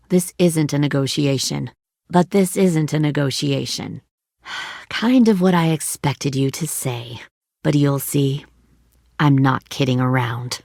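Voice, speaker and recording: A woman's voice: deep voice, creaky, a little mellow, no room sound, studio quality. An actress voicing a ruthless, hardened woman who leads a survivor militia in a video game drama, speaking in a controlled, menacing and persuasive way.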